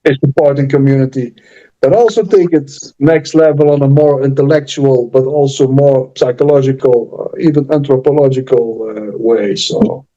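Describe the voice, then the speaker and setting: A man with a Dutch accent hosting a casual live chat, talking in halting restarts and earnest promotion. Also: mid-range voice, warm tone, lo-fi sound. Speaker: channel host and author.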